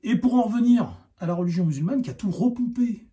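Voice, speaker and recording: A man giving an unscripted, argumentative opinion monologue, insistent and halting, often repeating himself. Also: sits high, dark tone. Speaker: online video commentator.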